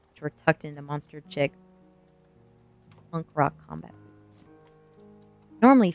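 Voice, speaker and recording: Female ASMR streamer, low register, book-reading channel, faint music underneath. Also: vocal fry. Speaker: streamer reading a novel aloud. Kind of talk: ASMR book reading, dramatic first-person narration; casual chat to stream viewers.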